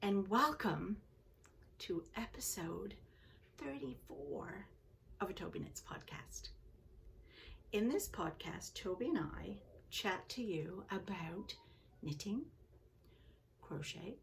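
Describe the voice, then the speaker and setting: Older lady from england, liverpool accent mostly gone, rambly knitting chat at home, bit gravelly, faint hiss. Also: medium pitch, animated, takes it slowly, long pauses, rough recording. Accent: Canadian-influenced English, originally from Liverpool. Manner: chatty, rambling, self-deprecating. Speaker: knitting podcast host. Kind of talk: casual chat to camera. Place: home, seated indoors.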